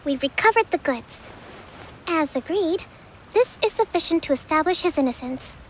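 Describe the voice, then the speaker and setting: A woman voicing a calm young priestess who leads her island, speaking in a composed, formal, reasoned way. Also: high-pitched, normal speed, slight background noise, poor audio. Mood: firm. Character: calm young female priestess-leader, measured and fair. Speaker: island's divine priestess and leader. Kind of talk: video game voice acting. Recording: faint game music behind.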